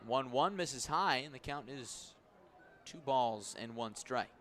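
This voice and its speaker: A man's voice: mid-range voice, takes it slowly, fairly bright tone. A man doing live baseball play-by-play on the radio in clipped phrases, stretching key words. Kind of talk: live sports commentary.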